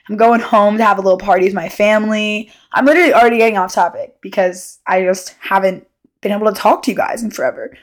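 A woman of twenty hosting a solo podcast in a casual, unscripted way, candid and rambling, often interrupting herself. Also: mid-range voice. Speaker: podcast host.

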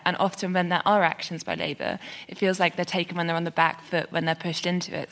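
A woman's voice: on the low side, a bit bright. A woman giving analytical, matter-of-fact political commentary as a journalist on a debate panel. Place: radio theatre stage.

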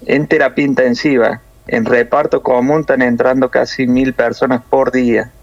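A man from Córdoba with a Cordoban Argentine accent, a nurse explaining things in a measured way with many hesitations over a phone interview. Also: mid-range voice, flat delivery, dry recording, muffled recording. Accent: Cordoban Argentine Spanish.

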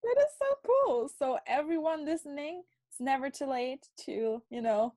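A woman's voice: high-pitched, lively intonation, moderate pace, dark tone, no echo, studio quality. A woman hosting a podcast, interviewing a guest in a gushing, enthusiastic way. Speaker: podcast host.

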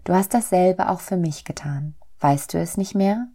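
A woman voicing a kindly, loving soul in a storybook, gentle, reassuring and earnest. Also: fairly low pitch, normal speed. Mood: tender, earnest.

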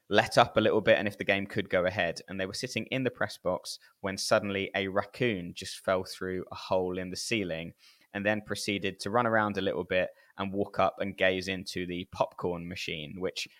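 A man's voice: sits low, fairly bright tone. A man co-hosting a podcast, chatting and relating stories in a casual, conversational way. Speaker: podcast co-host. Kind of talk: casual chat and storytelling.

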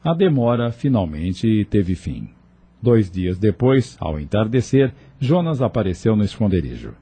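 A man's voice: fairly low pitch, very animated, a little slow, dark tone, slightly rough. A man with a Brazilian accent narrating a scripted radio drama serial.

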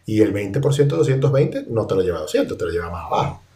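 A man's voice: lowish voice, very animated, racing, mellow. A man with a Latin American Spanish accent, telling things casually in conversation. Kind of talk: casual anecdote and chat. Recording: distant from the mic.

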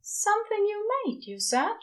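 A woman voicing a gentle, curious male angel with a polite, lilting manner in a fan fiction reading. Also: extremely high pitch, big pitch swings, moderate pace, slight background noise, pitched above the performer's own voice. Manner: polite, softly delighted, lilting.

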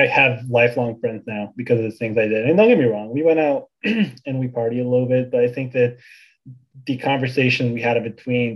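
A young man in his twenties who grew up in Bulgaria, talking as a podcast guest. His voice sits at an ordinary middle pitch, loose and conversational, full of fillers and restarts. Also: lo-fi sound. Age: 20s. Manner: relaxed, many fillers and restarts. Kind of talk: casual interview chat.

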